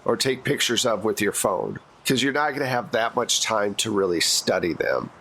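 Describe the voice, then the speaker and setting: A man narrating as game master in a tabletop role-playing session, explaining scenes in a relaxed, laid-back way. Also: on the low side, moderate pace, a little hiss or hum, low-quality recording.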